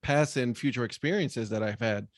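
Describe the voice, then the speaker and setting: A man's voice: mid-range voice, normal speed, dry recording. Man from Puerto Rico, dry close mic. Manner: filler-heavy, lots of "you know". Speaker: podcast host. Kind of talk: casual conversation.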